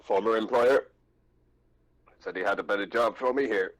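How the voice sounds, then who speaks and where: A man playing a laconic, cocky, battered android pilot in a tabletop game, in a deadpan, clipped manner. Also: lowish voice, moderate pace, natural voice, low-quality recording.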